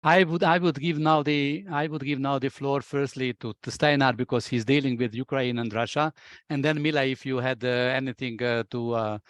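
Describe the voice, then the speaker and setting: A man's voice: mid-range voice, no room sound. A man moderating a webinar, hesitant, with many fillers and restarts, speaking over a video call.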